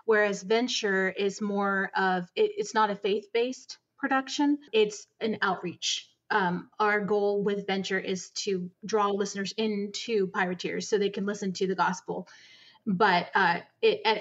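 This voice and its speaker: A woman guest on a podcast interview about making audio dramas, speaking in an earnest, steady way. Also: mid-range voice, highs cut off. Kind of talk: interview answers.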